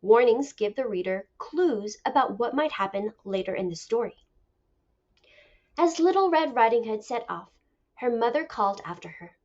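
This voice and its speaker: High-voiced woman teacher reading aloud. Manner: deliberate, expressive storytelling. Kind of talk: expressive picture-book read-aloud lesson. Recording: dull lo-fi recording.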